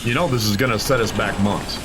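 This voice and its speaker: Brief: frustrated site boss, male actor, lowish voice, engine noise. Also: a little fast, lightly acted. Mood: exasperated. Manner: pushy, exasperated. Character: frustrated construction boss Mike.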